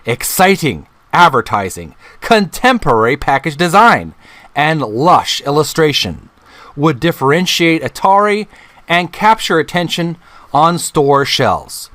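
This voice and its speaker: A middle-aged man hosting a channel, reading a book aloud in a deliberate, halting way, phrase by phrase. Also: fairly high pitch, fairly bright tone, no room sound. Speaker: video channel host.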